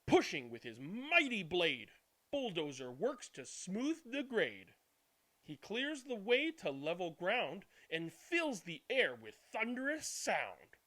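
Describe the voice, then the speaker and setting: A man narrating a children's bedtime storybook in an expressive, deliberate way. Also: high-pitched. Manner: animated, deliberate reading with hushed good nights. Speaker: read-aloud channel host.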